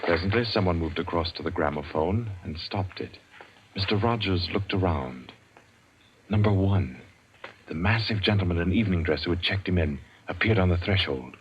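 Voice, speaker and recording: A man narrating a suspense radio drama in a measured, deliberate old-time storytelling style. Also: low voice, a little hiss or hum. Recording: dull vintage radio recording with music.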